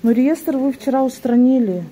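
A woman observer speaking calmly and matter-of-factly in a polling station office. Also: high-pitched, moderate pace, low-quality recording. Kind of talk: argument.

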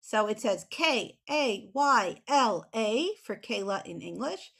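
A woman's voice: fairly high pitch, expressive, slow pace, vocal fry, a bit bright. A middle-aged American woman chatting casually with children on a video call, explaining things in an encouraging way. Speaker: children's author and illustrator as guest. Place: small home room with bookshelf, indoors. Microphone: computer mic on video call.

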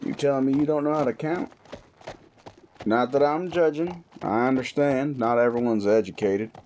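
A man playing a gruff, world-weary cowboy bounty hunter in a Western audio roleplay, with a folksy, threatening yet wry manner. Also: medium pitch, normal speed, darkish tone, a little hiss or hum, natural voice. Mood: wry, curious. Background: guitar music.

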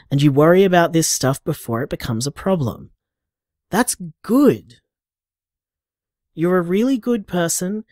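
Audio drama: upbeat, affectionate young boyfriend played by a man, chatty and earnest, lively pitch, close dry sound. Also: no echo. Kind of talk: intimate audio drama monologue.

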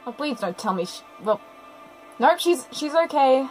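High-voiced woman gushing in reaction. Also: lively intonation, moderate pace, rough recording. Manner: gushing, sentimental, often exclaiming. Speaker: reaction commentator. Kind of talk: casual live reaction commentary over a cartoon. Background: music from the cartoon being watched.

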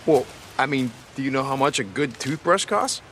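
A man's voice: medium pitch, expressive, moderate pace, a bit bright, a little hiss or hum, lightly acted. An actor playing a cocky, sardonic outlaw biker in his thirties in a video game, with an American accent. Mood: playful, tender. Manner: joking, sardonic. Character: wisecracking, cocky young male outlaw biker. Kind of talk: naturalistic game cutscene acting.

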